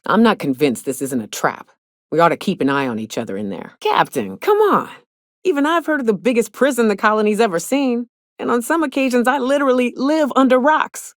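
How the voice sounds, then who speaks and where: An actress playing a hard-drinking, trigger-happy but loyal frontier mercenary in a video game, with folksy, salty, sardonic phrasing. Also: female voice, on the low side, no room sound, studio quality. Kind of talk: video game character dialogue.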